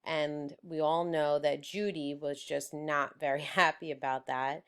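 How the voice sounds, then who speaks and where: A woman reading a children's story aloud in a deliberate, expressive storyteller's manner. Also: low voice, smooth. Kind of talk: children's book read-aloud.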